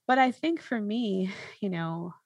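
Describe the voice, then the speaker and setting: Woman podcast host with a creaky voice. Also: mid-range voice, animated, unhurried, dry recording. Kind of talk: casual reflective podcast conversation.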